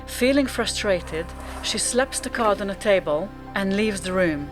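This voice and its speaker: A woman's voice: medium pitch, lively intonation, a little slow, music in the background. A woman narrating a scripted radio drama as its storyteller.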